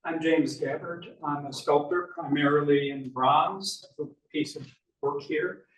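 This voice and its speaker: An older man who works as a sculptor introduces himself in short, halting phrases. His voice sits a little high for a man. He is picked up from a distance in an echoey room. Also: steady tone, fairly bright tone.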